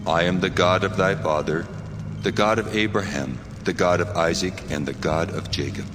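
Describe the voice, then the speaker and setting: Guy doing god in a bible audio drama, solemn n commanding, really low, huge reverb. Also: moderate pace, close to an everyday voice. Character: God, solemn commanding divine voice from a burning bush. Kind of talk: dramatized scripture reading. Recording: long cavernous reverb, music underneath. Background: music.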